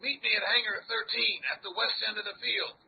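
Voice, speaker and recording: An actor playing a hoarse, slangy tough adventurer in an old-style radio drama. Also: male voice, high-pitched, little pitch movement, brisk. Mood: brisk, slangy. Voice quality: hoarse, gravelly. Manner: rough slangy speech. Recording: tinny, noisy old-time radio sound.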